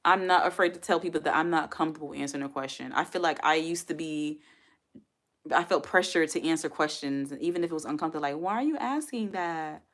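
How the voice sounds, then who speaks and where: Chatty woman in her forties, lowish voice. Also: fast. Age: 40s. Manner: chatty, talks straight to chat, laughs often. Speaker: livestream host. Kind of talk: casual chat reading viewer comments.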